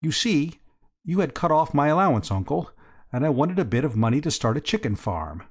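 A man's voice: on the high side, big pitch swings, fairly quick, pitched above the performer's own voice. A man voicing a hapless upper-class young nephew in an audiobook, flustered, earnest and rambling. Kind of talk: audiobook character dialogue.

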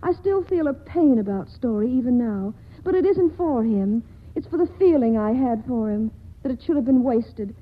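A woman's voice: on the high side, expressive, moderate pace. An actress playing an earnest young woman torn in love in a 1940s radio drama, speaking with emotional pleading. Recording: tinny old radio broadcast.

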